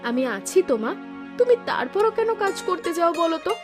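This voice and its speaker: Stubborn caring village girl for a cartoon, really high voice, music playing behind. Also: sing-song, a little fast. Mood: scolding, caring. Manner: scolding, insistent, emotive. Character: devoted, stubborn young village daughter who sells chillies. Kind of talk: animated Bengali folk tale voice acting.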